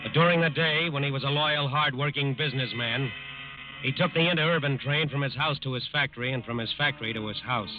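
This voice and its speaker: A man's voice: medium pitch, fairly quick, over music, lightly acted. A man acting as the grave narrator of a 1940s radio crime drama. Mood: solemn, ironic. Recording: muffled old radio recording.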